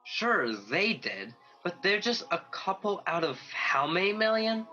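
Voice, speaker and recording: A restless angel, acted by a man with a bright, slightly high voice. He questions everything with a discontented edge, as in a radio play, on a muffled video-call recording with music underneath. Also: very animated, measured pace, heavily acted. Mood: frustrated, doubting. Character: restless young male angel, doubting and eager for action.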